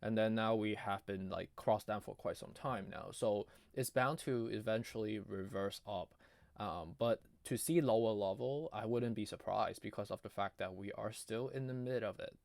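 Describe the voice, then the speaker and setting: A man giving casual, off-the-cuff chart commentary in a halting, conversational way, his voice strained as if giving out. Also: fairly low pitch, creaky, a little mellow, dry recording. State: losing his voice, often clearing throat. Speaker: market analysis video host.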